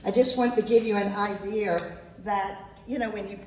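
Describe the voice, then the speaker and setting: A woman's voice: medium pitch, fairly even intonation, normal speed, darkish tone. A woman, giving an earnest, emphatic talk to an audience as an author at a local event. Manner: earnest, emphatic, repeats points. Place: event room, echoey. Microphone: handheld microphone, at times off mic. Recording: echoey room from far off, faint noise.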